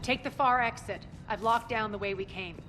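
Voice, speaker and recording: An actress playing a ruthless, commanding crime boss in a video game, giving clipped, imperious orders. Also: female voice, fairly high pitch, normal speed, noisy background, lightly acted. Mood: cool, confident. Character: commanding, ruthless female crime boss leading her own soldiers. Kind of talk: video game cutscene acting. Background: music.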